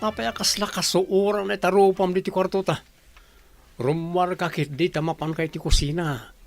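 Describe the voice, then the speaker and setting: A cheeky young man in a radio play, the teasing pal. His voice is fairly high for a man, with a gravelly creak. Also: steady tone, moderate pace, faint music behind. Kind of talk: radio drama acting.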